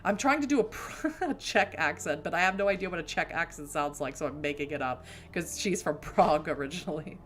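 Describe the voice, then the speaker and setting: A woman's voice: sits low, expressive, fairly quick, hardly any pauses, a bit bright. A woman with an American accent chatting casually to her stream, rambling through asides. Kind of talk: casual live-stream chat.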